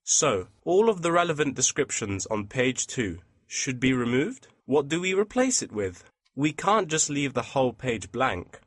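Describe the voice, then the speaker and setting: A man's voice: mid-range voice, sing-song, unhurried, fairly bright tone, no room sound, studio quality. A man playing a courteous, businesslike printing company employee in a scripted listening-test dialogue. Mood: polite, attentive. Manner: courteous, customer-service formal. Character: polite, businesslike male printing company employee taking a customer's requests.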